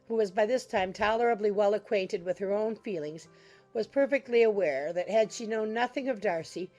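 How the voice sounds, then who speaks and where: Woman narrating a classic novel. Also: mid-range voice. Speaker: audiobook narrator. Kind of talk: scripted literary narration. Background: soft background music.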